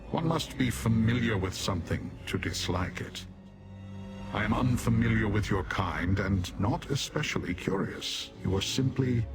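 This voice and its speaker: Casting: imperious demon warlord for a fantasy game, very low voice, deadpan disdain, formal diction, music underneath. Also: male voice. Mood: disdainful. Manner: formal, condescending, deadpan, measured. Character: haughty male Dremora demon warrior commander, coldly disdainful of mortals. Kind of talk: video game character dialogue.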